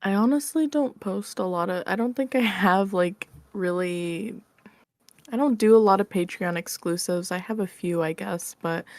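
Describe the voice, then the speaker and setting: A woman speaks as a guest in a casual interview. Her voice sits a little low and is recorded dry and close. She is informal and modest about herself, often softening what she says. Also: gravelly, dry recording. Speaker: podcast guest, ASMR roleplay creator. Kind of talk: casual chat, answering interview questions.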